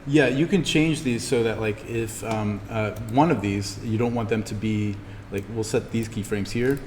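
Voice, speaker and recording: A man teaching an introductory animation class, talking informally through software steps and thinking aloud as he demonstrates. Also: fairly low pitch, normal speed. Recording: steady noise behind the voice.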